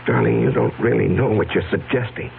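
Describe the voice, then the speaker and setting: An actor playing an anxious, evasive blackmail victim in a 1970s radio drama, hesitant and stammering. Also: male voice, lowish voice, fairly quick, creaky. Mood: agitated. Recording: narrow-band old radio recording with hum.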